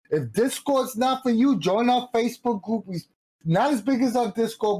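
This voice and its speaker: A man's voice: high voice, darkish tone, rough recording. The joking, slangy guy who hosts a hobby livestream and casually runs through the sign-up and follow plugs before closing the show. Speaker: host of a gaming community stream. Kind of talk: casual chat with promotional plugs.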